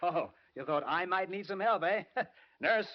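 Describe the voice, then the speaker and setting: Bossy american news chief, wisecracking slang, highish voice, muffled old radio drama. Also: male voice, expressive, measured pace. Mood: brash, bossy. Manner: brash, slangy, commanding. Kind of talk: 1940s-50s radio acting.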